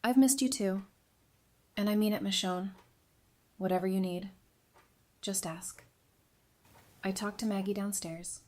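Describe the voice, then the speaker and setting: An actress playing a supportive, caring, plain-spoken friend in an audio drama. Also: female voice, mid-range voice, fairly even intonation, a little slow, pauses often, darkish tone, close to an everyday voice. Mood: reassuring.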